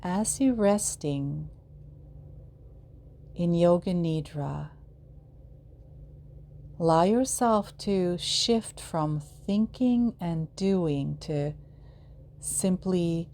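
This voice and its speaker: A woman leading a guided yoga nidra meditation in a soothing, measured way, leaving long pauses between short phrases. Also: on the low side, creaky, dark tone, slight background noise.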